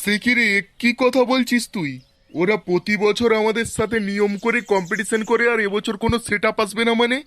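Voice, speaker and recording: A very high male voice with a West Bengali accent gives us a bossy, grumbling festival organiser played by a man. He is brash and full of bluster, in a homemade cartoon style. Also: steady tone, a little fast, a bit bright. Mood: surprised, insistent. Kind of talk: amateur comic cartoon dub.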